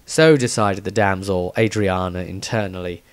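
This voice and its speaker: A man reading his own fantasy story as scripted narration, with dramatic, deliberate phrasing. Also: low-pitched, steady tone.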